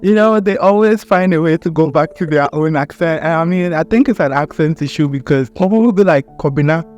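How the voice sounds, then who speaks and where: Relaxed man from Ghana chatting. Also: on the high side, brisk, highs cut off, soft background music. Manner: relaxed, laughs, uses "like" a lot, acts out little quotes. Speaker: graduate student guest. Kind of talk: casual interview chat.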